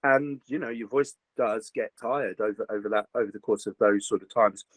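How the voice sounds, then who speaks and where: A man's voice: on the low side, moderate pace, dry recording. Older man, rambling and dry. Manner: rambling, many "you know" fillers and restarts. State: slightly gruff after a recent virus. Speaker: voice actor guest. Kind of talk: casual interview chat.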